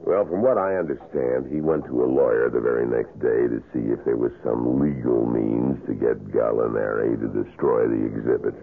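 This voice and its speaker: An actor playing a man calmly recounting what he witnessed, in a 1970s radio drama, gravelly and measured. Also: extremely low pitch. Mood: matter-of-fact. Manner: measured storytelling. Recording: muffled old radio recording.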